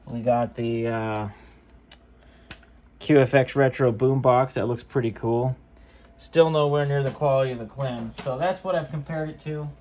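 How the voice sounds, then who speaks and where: A man's voice: medium pitch, fairly even intonation, moderate pace, a little mellow. A man giving a casual product demonstration as a seller, talking in an informal, offhand, conversational way. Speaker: seller demonstrating modified cassette players.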